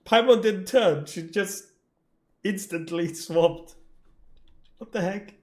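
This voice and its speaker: Wry game streamer, mid-pitched voice. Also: slow, a little mellow. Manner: wry, joking, sweary. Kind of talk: casual reaction commentary over gameplay.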